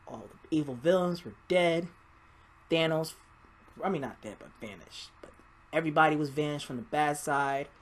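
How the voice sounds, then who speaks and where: A man's voice: on the high side, normal speed. A man giving a casual, rambling movie review in an informal, mildly profane vlog style. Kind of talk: casual spoiler-filled film review vlog.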